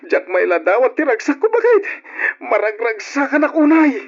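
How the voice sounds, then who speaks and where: An actor playing an excitable young husband and expectant father in an old radio drama. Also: mid-range voice, moderate pace, natural voice. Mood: overjoyed. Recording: dull, lo-fi radio drama recording.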